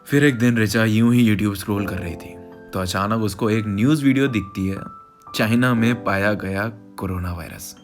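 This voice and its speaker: Deep-voiced Indian man narrating over music. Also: moderate pace. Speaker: storyteller. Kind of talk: dramatic, emotional scripted narration of a sad story.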